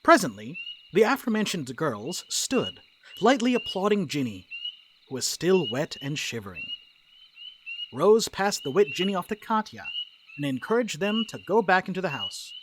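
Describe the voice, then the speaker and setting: A man narrates a dramatic script in a fairly high voice with lively swings in pitch. There is faint noise in the recording. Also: moderate pace. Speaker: narrator reading stage directions.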